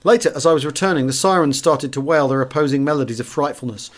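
A man's voice: a little high, fast talker. A man narrating a wartime memoir as a scripted audiobook reading.

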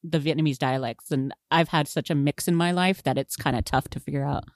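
A woman co-hosting a podcast, chatting about a film in an animated way and laughing often. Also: low-pitched, fairly quick, dry recording, studio quality. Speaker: podcast co-host. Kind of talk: casual film discussion.

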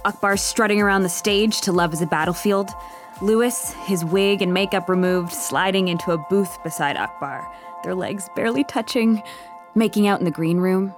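A woman's voice: medium pitch, normal speed. A woman delivering scripted third-person story narration as the narrator of an audio drama. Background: music.

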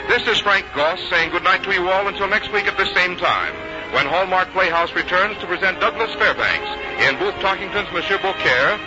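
An American man working as a radio announcer, reading scripted 1950s copy in a polished, measured way. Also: sits high. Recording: dull vintage radio recording with music.

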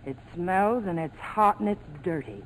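A woman's voice: on the low side, expressive, normal speed, lightly acted. An actress playing a sardonic, guarded young woman in the cool style of 1940s radio drama. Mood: defiant, annoyed. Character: hard-boiled sardonic young American woman, defiant and guarded. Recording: noisy old 1940s radio broadcast.

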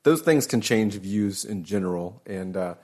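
A man's voice: low voice, animated, measured pace, a little mellow. A man interviewing a musician, asking long rambling questions full of you know and like. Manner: rambling, chatty, heavy on fillers like you know and like. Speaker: podcast interviewer. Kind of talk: casual interview conversation.

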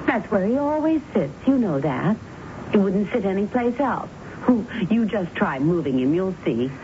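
Radio drama: actress doing a pleading, sweet young wife, lively pitch, muffled hissy broadcast. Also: female voice, moderate pace, close to an everyday voice. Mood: placating.

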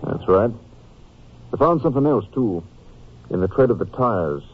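Serious, husky police captain. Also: male voice, on the low side, expressive, normal speed. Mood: businesslike. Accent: American. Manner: matter-of-fact briefing. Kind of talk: 1950s radio drama acting. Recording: muffled, narrow-band old radio recording.

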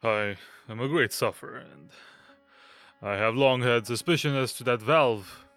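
A man playing a fussy, nervous, hypochondriac young gentleman in an audio drama, stammering and obsequious. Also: mid-range voice, a little slow, clean tone, faint music behind, lightly acted. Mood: anxious. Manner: stammering, fidgety, obsequious, nervous little laughs.

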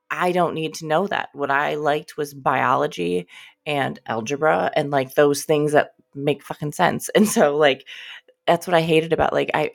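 Stuffy-nosed chatty woman, gravelly. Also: low voice. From United States. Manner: rambling, chatty, swears casually, many fillers. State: stuffy, nasal from a cold. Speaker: podcast host. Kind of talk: casual solo podcast monologue.

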